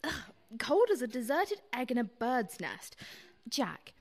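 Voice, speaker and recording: Radio play: genteel lady, played by an actress, arch, refined, fairly high voice, animated intonation. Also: measured pace, exaggerated voice. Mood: arch, curious. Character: genteel, poised, romantic runaway lady.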